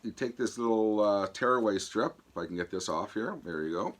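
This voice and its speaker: A man hosting a casual vlog demonstration, talking haltingly with a voice that comes and goes from a throat infection. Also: fairly low pitch, slight background noise. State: sore throat from an infection, voice going in and out. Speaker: vlog host. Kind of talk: casual product demonstration to camera.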